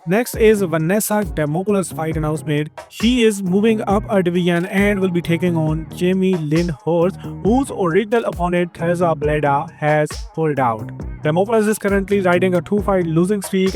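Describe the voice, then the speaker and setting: A man with an Indian accent hosting a scripted combat-sports news roundup, upbeat and quick. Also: high voice, darkish tone. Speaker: host of an MMA news channel.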